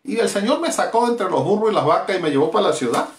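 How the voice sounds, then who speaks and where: A man's voice: high-pitched, lively intonation, quick pace, echoey room, slight background noise. A middle-aged Venezuelan man preaching a fervent evangelistic sermon as pastor, with emphatic repetitions. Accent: Venezuelan Spanish. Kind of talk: evangelistic sermon streamed live.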